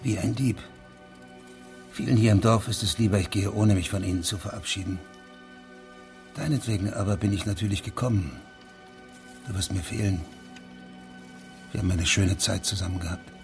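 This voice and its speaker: A weary judge in a radio drama, his voice low and full of gravel. He speaks with restraint and slips away from commitment, measured throughout. Music sits clearly behind him. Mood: wistful. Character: weary, evasive male judge leaving a village. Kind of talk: German radio drama acting.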